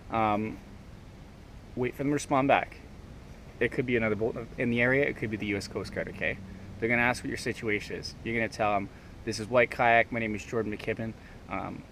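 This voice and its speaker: A man with a fairly low voice presents an informal how-to demonstration. He talks in plain instructional steps and often checks that listeners follow. There is faint outdoor and vehicle noise behind him. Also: fairly bright tone. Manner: often checks understanding with "okay?". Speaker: presenter, kayak angler.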